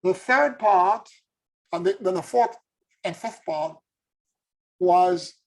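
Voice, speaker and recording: A man's voice: sits high, animated, slow, gravelly, low-quality recording. A man from Haiti, a spiritual teacher, giving an earnest, introspective monologue and searching for his words as he goes. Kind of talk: reflective spoken monologue.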